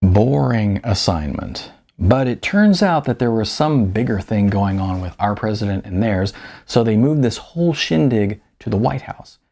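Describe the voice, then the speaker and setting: A man voicing a weary, self-deprecating writer of almost fifty, halting and sardonic, in an audiobook. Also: lowish voice, expressive, gravelly, warm tone. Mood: sheepish, defensive.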